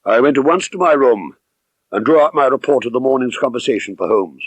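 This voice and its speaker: A man reading first-person literary narration as an audiobook narrator, measured, expressive and dramatic. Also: mid-range voice, dry recording, muffled recording.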